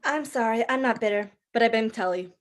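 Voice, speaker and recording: Lady doing lovestruck gushy woman, really high voice, coy and radiant, comedy read. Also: brisk, natural voice. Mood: coy, smitten. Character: radiant, lovestruck, gushing lady party guest. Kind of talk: amateur table read of a film comedy.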